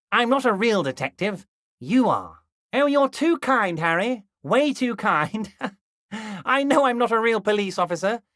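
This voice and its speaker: An actor playing a smooth-talking, manipulative middle-aged union boss who speaks in a sing-song, falsely friendly way and drops low whenever he says his listener's name. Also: fairly bright tone, dry recording, studio quality. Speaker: game character. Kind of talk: video game voice acting.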